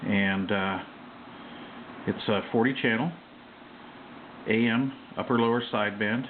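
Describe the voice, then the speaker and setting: A man giving a casual, unscripted walkthrough of his hobby gear, halting often and tossing in offhand asides. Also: fairly low pitch. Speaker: CB radio hobbyist presenting his own base station. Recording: muffled phone-like sound, loud background noise.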